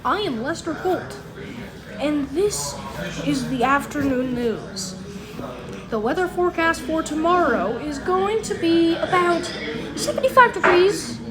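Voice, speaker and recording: A woman playing a TV news anchor, reading mock disaster bulletins in an announcer-like but halting amateur style. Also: sits high, animated, over a noisy crowd, low-quality recording. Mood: announcing. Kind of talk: amateur pretend news broadcast.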